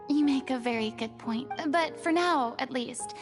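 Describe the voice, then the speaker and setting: A woman voicing an earnest, guilt-ridden young former idol in a video game, measured and wistful. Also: sits high. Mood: somber, gentle. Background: prominent game music.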